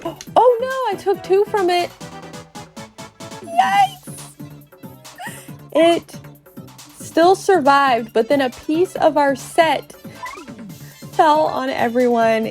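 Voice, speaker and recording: A woman narrates a video game playthrough as a storytelling host. Her voice is high and swoops widely, at an unhurried pace, with playful, cutesy exclamations. Music runs underneath. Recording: music behind the voice.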